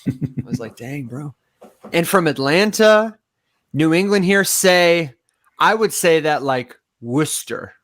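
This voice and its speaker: A man with a nasal, reedy voice and a slight Michigan accent, co-hosting a casual chat and telling animated stories with frequent laughter. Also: on the high side, takes it slowly, no room sound. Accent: Michigan, mostly neutral American. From Las Vegas, raised in Michigan. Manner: animated storyteller, laughs often. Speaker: co-host.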